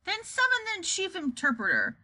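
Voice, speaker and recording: A bossy nanny of a woman from a comic storybook, put on by a female reader. She commands and scolds briskly in a high, sharp voice. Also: wildly swooping pitch, full character voice. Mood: commanding. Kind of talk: comic children's storybook character voice.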